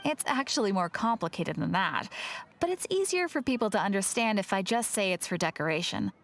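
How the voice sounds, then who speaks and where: An actress playing a cheerful, sly shopkeeper of rare curios in a video game, with welcoming, playful sales patter. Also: female voice, medium pitch, sing-song, brisk, natural voice. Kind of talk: video game character acting.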